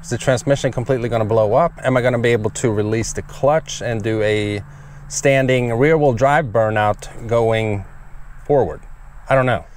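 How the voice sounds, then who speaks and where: A man's voice: medium pitch, moderate pace. A man in his forties vlogging from the cab of his moving pickup truck, chatting casually and laughing a lot, with a dashboard camera picking him up. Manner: excitable, laughs often, shouts when thrilled. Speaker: car vlogger. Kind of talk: casual vlog while driving. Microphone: camera mounted on dashboard. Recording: engine and road noise in a truck cab. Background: road and engine noise.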